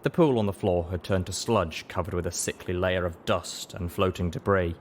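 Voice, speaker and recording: Deep-voiced man narrating a story. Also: moderate pace, a little hiss or hum. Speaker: audiobook reader. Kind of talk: dramatic fan-fiction audiobook narration.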